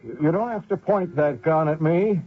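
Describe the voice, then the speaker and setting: A man's voice: on the high side, expressive, moderate pace, husky, dry recording. An actor playing a desperate, wounded American fugitive soldier who dramatically recounts his escape in old-time radio style. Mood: pleading, exhausted. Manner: dramatic first-person narration with strained pleading. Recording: tinny old radio broadcast with music.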